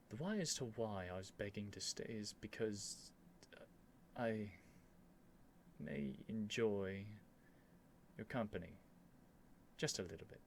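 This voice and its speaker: A man's voice: lowish voice, a little hiss or hum. A man playing a stubborn, flustered young prince with haughty, theatrical phrasing in an ASMR roleplay. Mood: shy, flustered.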